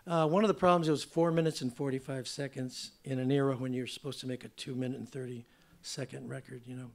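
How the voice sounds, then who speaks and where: An older man, slightly hoarse, introducing his song in casual, rambling stage talk with many fillers. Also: medium pitch, steady tone, fast, darkish tone. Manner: rambling, filler-heavy, chatty. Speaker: singer-songwriter. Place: theater stage at a museum event.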